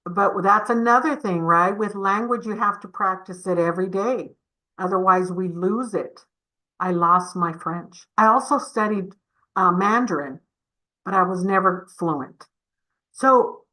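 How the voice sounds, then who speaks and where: A woman's voice: medium pitch, bright, forceful tone, rough recording. A woman giving a community webinar presentation over a video call, encouraging and addressing the audience with questions.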